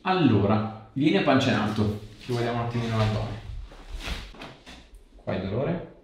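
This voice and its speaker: A man's voice: mid-range voice, lively intonation, moderate pace, darkish tone. A man in his thirties working as a manual therapist, guiding and questioning a patient in short phrases. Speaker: osteopath or chiropractor. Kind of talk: hands-on clinical consultation with a patient. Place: small treatment room, indoors. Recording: echoey room, distant mic, background noise.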